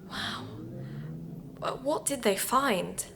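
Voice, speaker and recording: An actress playing a curious, polite podcast investigator questioning a witness in an audio drama. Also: female voice, a little high, expressive, very slow, gravelly, fairly bright tone, slight background noise, exaggerated voice. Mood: curious, shocked. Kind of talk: naturalistic audio drama interviewing.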